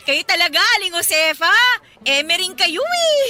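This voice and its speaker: A woman's voice: extremely high pitch, big pitch swings, takes it slowly, exaggerated voice. An actress playing a cheeky, food-loving young woman in a Filipino radio drama, sassy and playful yet polite to elders. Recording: music under the dialogue.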